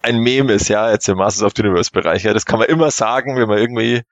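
A man on a podcast panel chatting casually and enthusiastically as a fan, halting and often repeating words. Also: fairly low pitch, no echo.

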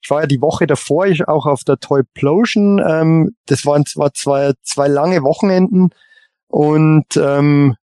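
Slightly high-voiced man chatting, halting. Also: warm tone, dry recording, studio quality. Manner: halting, repeats words mid-sentence. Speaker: podcast co-host. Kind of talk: casual chat.

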